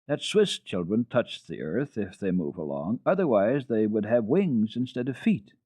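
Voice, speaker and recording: A man playing a genial, wry gentleman father with a brisk, courteous manner in a children's storybook reading. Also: fairly low pitch, sing-song, dry recording, clean studio sound. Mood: wry, puzzled.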